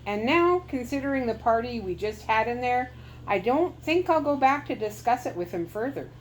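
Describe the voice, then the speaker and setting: A woman's voice: fairly high pitch, animated, normal speed, a little hiss or hum, pitched above the performer's own voice, lightly acted, poor audio. A woman voicing a shrewd, confident old cloth-trading lady. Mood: wry.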